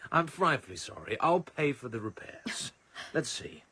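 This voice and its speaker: An actor playing a suave, cool British secret agent in a radio play, with a wry, teasing, urbane manner. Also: male voice, a little high, sing-song, slow, bright, forceful tone, slightly rough, exaggerated voice. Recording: radio drama with music behind.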